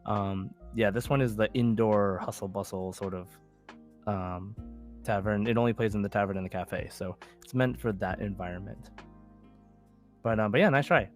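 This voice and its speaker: A man's voice: on the low side, normal speed, faint music behind. A man hosting a casual podcast quiz, talking in an encouraging, conversational way. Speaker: podcast host and quizmaster. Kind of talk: casual quiz show chat.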